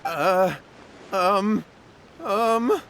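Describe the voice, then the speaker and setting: A man's voice: high voice, extremely slow, fairly bright tone, slight background noise, heavily acted. An actor playing a nervous, earnest young male villager in a video game cutscene, flustered and anxious. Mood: flustered, evasive. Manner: stammering, anxious. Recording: faint music behind the voice.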